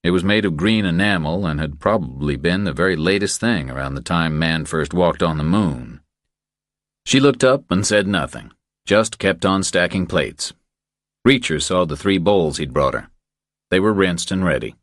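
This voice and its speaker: Very deep-voiced man narrating a thriller. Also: expressive, moderate pace, no echo, studio quality. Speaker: audiobook narrator.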